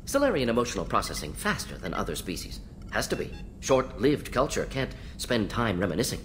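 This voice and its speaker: An actor playing a brisk, clinical alien scientist in a video game, speaking in clipped, telegraphic, matter-of-fact phrases. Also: male voice, mid-range voice, big pitch swings, a bit bright, a little hiss or hum. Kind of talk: video game character acting.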